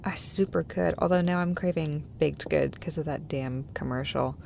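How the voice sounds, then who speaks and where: Female performer as affectionate girlfriend for intimate audio roleplay: breathy, gravelly edges, tender casual delivery, some background noise. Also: low voice, moderate pace, a little mellow. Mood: playful, soft. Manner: tender, casual, rambling, swears playfully. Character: affectionate, playful, chatty girlfriend comforting her partner.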